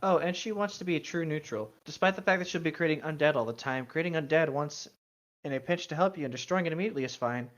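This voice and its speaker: A man's voice: on the high side, expressive, fast talker, a bit bright, dull audio. A man co-hosting a gaming story show, reading aloud and commenting casually. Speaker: co-host reading and commenting on tabletop gaming stories.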